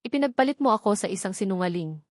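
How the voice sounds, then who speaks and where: A woman's voice: mid-range voice, animated, rapid-fire, dry recording, studio quality, natural voice. A Filipino woman voicing a scheming male villain in a story, agitated and defiant. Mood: bitter rage. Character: scheming male villain, panicked and angry when exposed.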